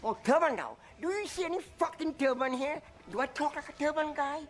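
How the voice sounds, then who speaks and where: A furious man pushes his voice high and lets it swing wildly. He is indignant and hurls insults with heat. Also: animated, fairly quick. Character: angry man insisting on his accent.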